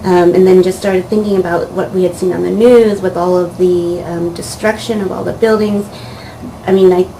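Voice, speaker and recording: Woman volunteer doctor, low gravelly voice, flat and measured, over a clear hiss. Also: low-quality recording. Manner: measured, with frequent "um". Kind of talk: recounting experience to camera. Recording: steady background hiss.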